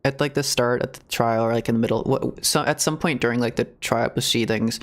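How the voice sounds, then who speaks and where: A man's voice: medium pitch, steady tone, brisk. A man giving casual live reactions as a game streamer, rambling and searching for words.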